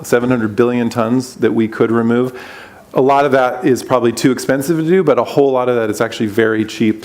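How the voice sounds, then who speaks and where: A man's voice: mid-range voice, darkish tone. Upbeat man presenting at a forum. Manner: enthusiastic, quick, loose phrasing. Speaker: conference speaker. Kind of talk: conference presentation.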